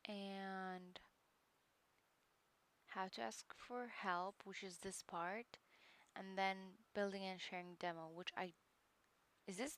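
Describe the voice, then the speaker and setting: A woman with a low speaking voice chatting casually on a live stream as she works through a course, hesitant and full of fillers and muttered asides. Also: fairly bright tone. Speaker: livestreamer studying a course.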